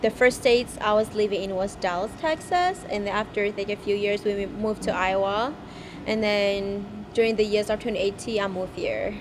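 A woman's voice: medium pitch, moderate pace. A woman in her twenties from Thailand, owner of a grocery store, answering interview questions hesitantly with many fillers, recorded inside her shop. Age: 20s. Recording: on-location with background noise.

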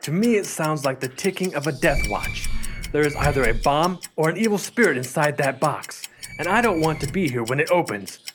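A man acting as a nervous, superstitious gentleman in a mystery radio play, with a fussy, formal and exclamatory delivery. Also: a little high, animated, normal speed, music in the background, natural voice. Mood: nervous, prim.

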